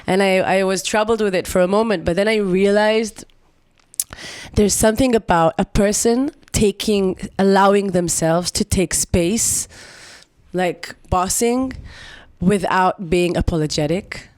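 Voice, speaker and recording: A woman's voice: medium pitch, measured pace, smooth, no echo. Woman, dry and close. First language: Hebrew. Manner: expressive, searching for words, laughs easily. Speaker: singer-songwriter guest. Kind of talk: reflective podcast conversation.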